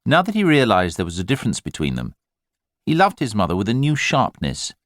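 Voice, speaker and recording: A man reading literary fiction as an audiobook narrator, in an expressive, measured storytelling manner. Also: fairly low pitch, no room sound, clean studio sound.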